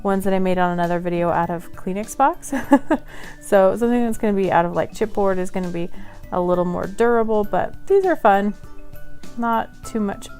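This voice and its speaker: A woman hosts a relaxed craft tutorial. She talks in a friendly, chatty way at a middling pitch and sometimes laughs at her own slips. Soft music plays faintly behind her. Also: normal speed. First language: English; Polish is her second language. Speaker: craft channel host.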